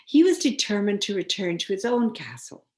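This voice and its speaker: A woman's voice: mid-range voice, sing-song, moderate pace. A woman storyteller reading a children's story aloud, expressively and with dramatic emphasis.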